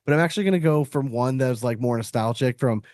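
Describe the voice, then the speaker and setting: A man's voice: mid-range voice, fast, darkish tone, no echo, studio quality. A man in his late thirties chatting casually as the host of a podcast. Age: late 30s. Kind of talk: casual chat.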